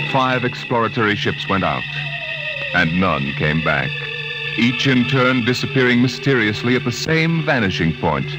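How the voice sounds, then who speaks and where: A man narrating a 1950s science fiction radio drama in a slow, portentous announcer's delivery. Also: medium pitch. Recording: dull old radio recording with music.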